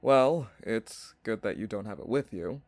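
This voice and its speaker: An actor playing an earnest, modest young alchemist in an audio drama, explaining things politely and matter-of-factly. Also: male voice, on the low side, lively intonation, measured pace, a little mellow, no echo. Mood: concerned, explaining.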